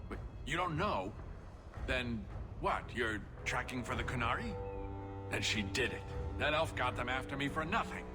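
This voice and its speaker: An actor playing a cornered, foul-mouthed small-time smuggler in a video game, gruff and sarcastic, griping in colorful slang. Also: male voice, mid-range voice, lively intonation, normal speed, close to an everyday voice. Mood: exasperated. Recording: loud music under the voice. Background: game music.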